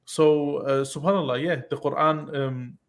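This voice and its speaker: A man explaining earnestly on a call-in talk show. He speaks in halting bursts with many restarts. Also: on the high side, steady tone. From Iran, raised in Germany. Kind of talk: casual explanatory chat.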